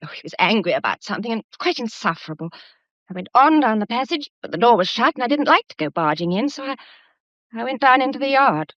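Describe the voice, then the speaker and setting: A woman's voice: fairly high pitch, big pitch swings, fast talker, a bit bright, no echo. An actress playing a plucky, anxious young woman, earnest and hesitant, in a radio mystery drama. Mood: guarded. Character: plucky, anxious young upper-class lady keeping her head. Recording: dull, muffled lo-fi audio.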